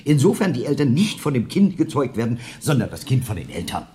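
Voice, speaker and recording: Comic radio play: man as pompous, pedantic church lawyer, very animated delivery, mid-range voice. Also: medium pitch, brisk, natural voice. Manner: pompous, lecturing. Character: pedantic learned church jurist, pompously sure of himself.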